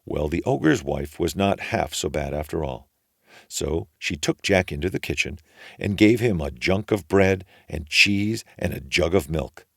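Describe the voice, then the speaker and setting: A man's voice: sits low, lively intonation, measured pace, gravelly, slightly rough, dry recording, studio quality. A man narrating a children's fairy tale as a storyteller, in a folksy, colloquial manner.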